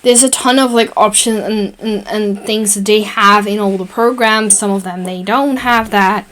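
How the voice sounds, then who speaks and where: A young Dutch woman with a Dutch accent, a native Dutch speaker, talking through a design-software tutorial in a chatty, rambling way, full of fillers and self-corrections. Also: mid-range voice, steady tone, normal speed. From Netherlands. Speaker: tutorial host. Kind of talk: casual screen-recording tutorial voice-over.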